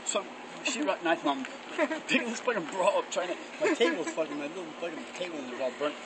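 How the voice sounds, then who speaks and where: A man laughs through a story full of swearing and repeats himself, his pitch jumping all over. A very noisy outdoor phone recording nearly swamps him. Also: sing-song, fast talker. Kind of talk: laughing storytelling among friends.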